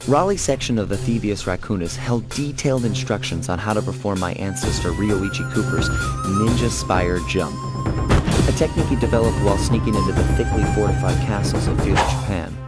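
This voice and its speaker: A man's voice: lowish voice, wildly swooping pitch, fairly quick, loud music behind the voice. A man voicing a cool, confident young raccoon master thief in a cartoon video game, breezy and wisecracking. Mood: narrating.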